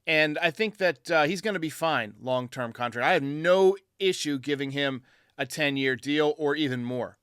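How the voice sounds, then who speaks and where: A man's voice: fairly high pitch, moderate pace, fairly bright tone, smooth, dry recording, clean studio sound. A man hosting a baseball fan show, giving his commentary in answer to callers. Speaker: sports show host.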